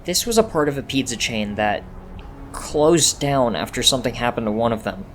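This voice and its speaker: Nervous, inquisitive teen boy voiced by a man for comic-dub dialogue, lively intonation, average male pitch. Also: normal speed, a little hiss or hum, close to an everyday voice. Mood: uneasy, explaining.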